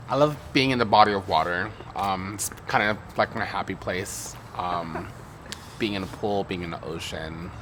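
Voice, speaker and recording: A man in his thirties from Harlem, New York, talking haltingly about what he loves, with many fillers. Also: deep voice, background noise. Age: 30s. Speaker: church member sharing his story. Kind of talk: casual personal reflection. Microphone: studio interview mic.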